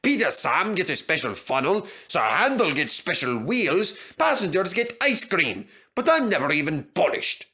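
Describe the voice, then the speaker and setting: A man's voice: fairly high pitch, big pitch swings, moderate pace, hardly any pauses, bright, forceful tone, voice pushed up. A man voicing a sulky, jealous steam engine who grumbles and complains, in a children's storybook. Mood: sulky complaining. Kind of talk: children's storybook character acting.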